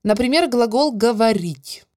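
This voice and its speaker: A woman's voice: fairly high pitch, animated, darkish tone, dry recording, studio quality. Woman teaching French grammar in Russian. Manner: clear, measured explaining, drawn-out example words. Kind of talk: scripted grammar lesson narration.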